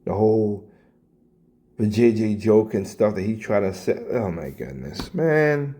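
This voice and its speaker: A man with a scratchy, hoarse voice, ranting casually in reaction to clips, blunt and swearing, stumbling and repeating his words. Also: fairly low pitch, lively intonation, unhurried, mellow. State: hoarse, coughing. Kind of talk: casual rant.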